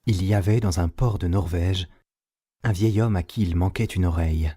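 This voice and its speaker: A man's voice: low-pitched, normal speed, warm tone, husky. A man narrating a scripted tale in an audio drama, in storybook style.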